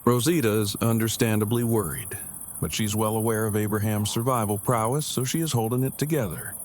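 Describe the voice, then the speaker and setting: A man's voice: lowish voice, a little fast, a little hiss or hum, lightly acted. A man acting an awkward, earnest survivor in an audio drama, speaking in formal, wordy, stilted phrases. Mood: matter-of-fact. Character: awkward, earnest, verbose male survivor in a zombie apocalypse.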